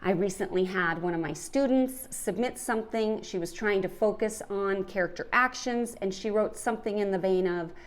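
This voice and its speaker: A woman's voice: mid-range voice, moderate pace, hardly any pauses. A woman hosting a podcast, giving an emphatic lesson on storytelling. She asks questions and works through examples. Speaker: podcast host and writing teacher.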